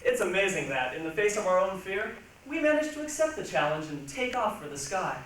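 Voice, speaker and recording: Animated male stage reader, distant and echoey. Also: sits high, fairly quick, a little hiss or hum. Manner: projected, theatrical. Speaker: stage performer. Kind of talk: readers' theatre comic narration.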